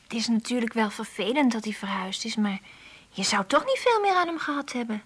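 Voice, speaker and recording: An actress playing a spirited, matter-of-fact schoolgirl in a radio drama, chatting frankly in a breathy voice. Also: fairly high pitch, animated, a little fast, a bit bright. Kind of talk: conversational radio drama acting.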